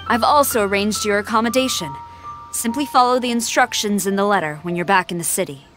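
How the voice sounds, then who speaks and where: An actress playing a formal, dutiful female samurai general in a video game, measured and authoritative. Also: mid-range voice, over music, close to an everyday voice. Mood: formal grateful.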